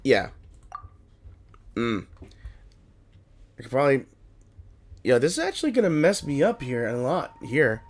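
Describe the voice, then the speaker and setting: A man's voice: medium pitch, very animated, unhurried, long pauses. A man giving casual gameplay commentary, muttering and thinking aloud as he plays. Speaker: gaming streamer. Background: game music.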